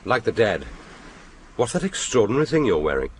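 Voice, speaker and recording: A man's voice: on the low side, big pitch swings, brisk, slight background noise. An actor playing a wry, urbane Western man in a radio drama, courteous and self-deprecating, with dry, mock-formal phrasing. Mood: cheerful.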